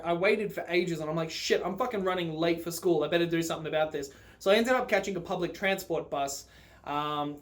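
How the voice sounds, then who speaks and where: A man with a notably high-pitched voice and an Australian accent tells a story in a casual vlog style. He rambles informally and swears freely, recording himself on a phone. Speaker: vlogger.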